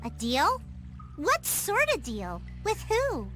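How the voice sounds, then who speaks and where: A woman's voice: very high-pitched, huge pitch swings, slow, exaggerated voice. A woman voicing a quirky, confident young girl, playful and scheming, in a video game. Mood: playful, coy. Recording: music under the voice.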